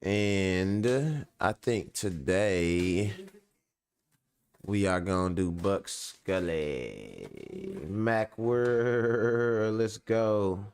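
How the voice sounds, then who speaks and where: A man with a low, smooth, resonant voice, hosting a laid-back show. He talks in loose, joking, profane banter, easy and unhurried. The sound stays clean and full. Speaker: show host. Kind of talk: casual chat.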